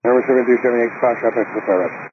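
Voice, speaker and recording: Fast-talking male controller on tinny, noisy radio. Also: mid-range voice, steady tone. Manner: terse, procedural, firm. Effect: radio transmission. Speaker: air traffic controller. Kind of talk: live air traffic control radio instructions.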